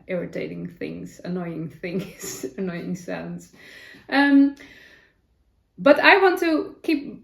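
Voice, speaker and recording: A woman's voice: a little high, vocal fry. A middle-aged Dutch woman with a Dutch accent, chatting casually about her day as a knitting vlogger at home, rambling with fillers and self-corrections. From Netherlands. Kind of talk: casual chat. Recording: roomy echo.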